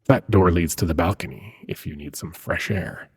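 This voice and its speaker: A man playing an ancient, seductive demon prince in an intimate ASMR roleplay, hushed and coaxing, with dramatic pauses and a husky, breathy voice. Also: deep voice. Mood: calm, amused. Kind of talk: ASMR roleplay, intimate second-person monologue.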